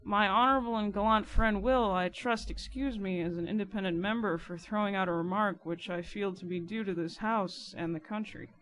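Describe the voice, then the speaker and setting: A woman voicing a languid, mocking gentleman lawyer in an audiobook, speaking with deliberate, pompous irony. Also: mid-range voice, fairly even intonation, close to an everyday voice, poor audio. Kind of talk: dramatic audiobook character reading.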